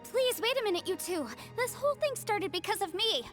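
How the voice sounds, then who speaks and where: High-voiced, earnest young female peacemaker. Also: lively intonation, brisk, music in the background. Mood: anxious, pleading. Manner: polite, earnest, fretful. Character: earnest, anxious young woman consultant, polite peacemaker.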